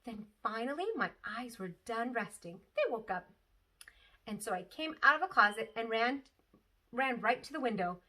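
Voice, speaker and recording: Lady teacher doing storytime read aloud, bright n really expressive, bit away from the mic. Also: a little high, moderate pace, far from the mic, low-quality recording. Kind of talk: expressive children's read-aloud with asides to listeners.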